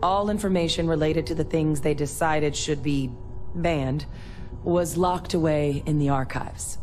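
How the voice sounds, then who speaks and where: An actress playing an earnest former military scientist in a sci-fi video game, speaking in a measured, explanatory way. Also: female voice, deep voice, darkish tone, faint music behind. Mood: serious, explanatory. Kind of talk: video game dialogue acting.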